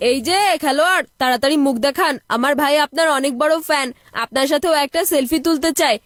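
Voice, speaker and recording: A woman's voice: sits high, fast, fairly bright tone, no echo, clean studio sound, soft background music. A woman voicing a bossy, cheeky cartoon child who scolds and insists. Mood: urging. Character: bossy, scheming child sibling who loves ice cream. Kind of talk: cartoon voice acting.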